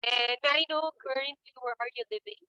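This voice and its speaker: A woman teaching English in an online class, giving patient, clear feedback and repeating her points. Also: sits high, fairly even intonation, pushed and bright, no echo, muffled recording. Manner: slow, clear corrective explanations with repeats. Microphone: video call.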